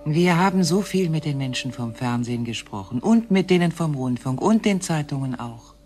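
An actress playing a calm, fearless female alien, composed and terse, in a sci-fi radio play. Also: low-pitched, normal speed, faint music behind.

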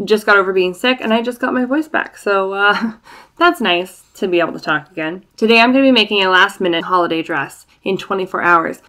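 A woman in her thirties, slightly croaky from a recent illness, chatting casually through a sewing tutorial in a small home room. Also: medium pitch, a little fast, pushed and bright. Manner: chatty and self-deprecating, with fillers. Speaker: sewing vlogger. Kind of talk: casual tutorial chat to camera.

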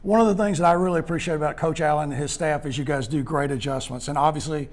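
An older man interviewing high school football players in a clubhouse after a game, upbeat and full of praise. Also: fairly even intonation, fast talker. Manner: upbeat, praising, rambling questions. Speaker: postgame interviewer. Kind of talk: postgame sports interview.

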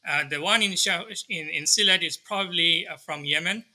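A man in his thirties from Bangladesh, answering interview questions thoughtfully over a video call from a study lined with books, pausing and restarting often. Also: on the high side, pushed and bright. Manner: thoughtful, many restarts and fillers. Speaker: guest scholar. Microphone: video call webcam.

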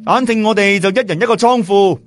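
Man voicing a confident, high-pitched challenger. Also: a little fast, faint music behind, natural voice. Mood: confident, proposing. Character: confident man proposing a contest among experts.

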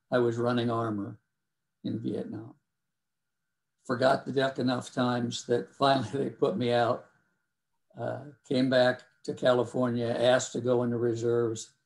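A man's voice: medium pitch, gravelly, darkish tone. A man in his seventies on a video call, telling his life story in clipped, dry, self-deprecating phrases. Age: 70s. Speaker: interview guest, Vietnam War veteran.

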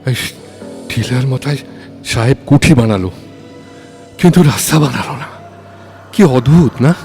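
A man's voice: mid-range voice, expressive, a little slow, a little mellow, faint music behind. A man acting a deferential, wary assistant in an audio story, speaking hesitantly and repeating himself. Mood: deferential, puzzled.

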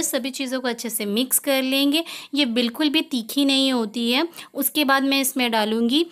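A woman narrates as a home cook. Her voice sits a little high. She talks in a plain, instructional way, taking the listener through a recipe one step at a time. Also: a bit bright.

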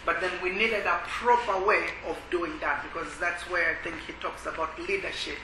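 A man from Malawi sharing his testimony at a church workshop, speaking haltingly with many pauses and filler sounds. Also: high voice, steady tone. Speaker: ministry worker. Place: conference workshop room. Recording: echoey room with background noise.

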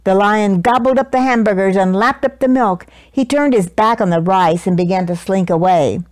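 A woman's voice: mid-range voice, no echo. Older woman reading a storybook, dry sound. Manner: deliberate, dramatic emphasis for young listeners. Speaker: children's story reader. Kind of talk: expressive picture-book read-aloud. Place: small living room, indoors. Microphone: lapel mic.

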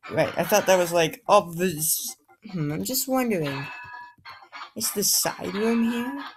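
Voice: medium pitch, a little slow. A gamer hosting a casual let's play, talking in a playful, rambling way that drifts into babble. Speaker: gaming video host.

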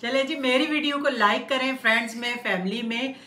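A woman's voice: high-pitched, fairly quick, bright, forceful tone, a little hiss or hum. A middle-aged woman chatting casually as host of a family cooking vlog. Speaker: cooking channel host, mother. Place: home kitchen and dining room. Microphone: handheld phone at some distance. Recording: echoey room, clinking dishes and cutlery.

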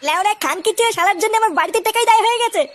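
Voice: very high-pitched, very fast, a bit bright. A performer voicing a bratty, hot-headed, foul-mouthed male cartoon character in an exaggerated, ranting comic style. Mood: angry ranting. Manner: whiny, ranting.

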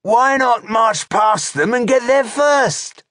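A man voicing an insolent orc soldier in a fantasy audiobook, loud and challenging as a troublemaker who defies his chief. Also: slow pace, no echo, clean studio sound, exaggerated voice. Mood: defiant. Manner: high-pitched, loud, challenging.

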